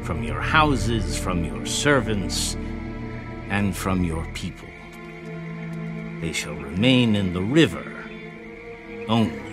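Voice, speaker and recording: An actor playing a grave, firm prophet in a dramatized scripture reading, measured and earnest. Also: male voice, sits low, expressive, music in the background.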